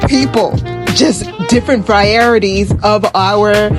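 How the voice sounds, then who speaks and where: A woman's voice: medium pitch, takes it slowly, over music. A woman giving casual travel vlog commentary in a blunt, slangy, profane way. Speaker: travel vlogger and podcast host.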